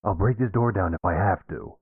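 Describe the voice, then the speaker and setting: Husky, low-voiced worried boyfriend, played by a man. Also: brisk, no echo, narrow, tinny audio. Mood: anxious, insistent. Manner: earnest, pleading, exasperated. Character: worried, insistent boyfriend pleading with his partner. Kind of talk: boyfriend roleplay audio drama.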